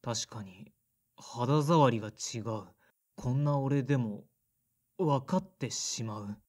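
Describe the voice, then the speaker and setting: A man's voice: mid-range voice, animated, slow, darkish tone. An actor playing a blunt, sullen man in his thirties in an audio drama, casual and introspective. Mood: subdued, reflective. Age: 30s. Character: sullen, blunt son of a weaving family, mid-thirties. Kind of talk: audio drama narration and dialogue.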